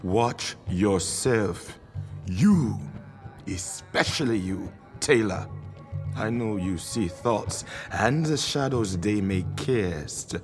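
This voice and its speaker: An actor playing a mysterious, wry and ominous curse remover in a radio drama, speaking formally and cryptically with dramatic pauses. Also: male voice, lowish voice, expressive, soft background music. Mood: ominous warning.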